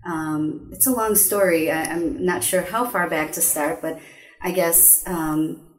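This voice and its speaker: A woman from Bulgaria, a guest in a studio, telling her life story in a thoughtful, measured way. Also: lowish voice, far from the mic. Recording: roomy sound from off mic.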